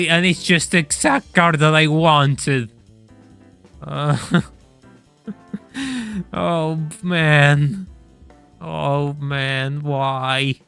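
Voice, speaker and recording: Streamer, young man, bright forward voice, chatty and stammering, faint game music behind. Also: medium pitch, creaky, clean tone. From Argentina. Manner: chatty, laughs often, stammers and repeats words. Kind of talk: casual live-stream chat and gameplay commentary.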